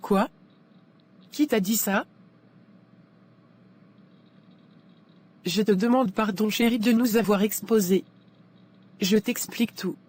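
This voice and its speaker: A woman playing an earnest, discreet wife in a cartoon moral drama, speaking in a measured way. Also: mid-range voice, a little mellow, lightly acted. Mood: anxious. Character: earnest, discreet married woman, anxious and sincere. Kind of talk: animated moral drama dialogue. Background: music.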